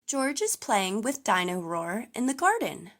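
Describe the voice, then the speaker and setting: A woman reading a children's story aloud as host, with enthusiastic, clearly phrased narration for toddlers. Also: medium pitch, very animated, unhurried, fairly bright tone.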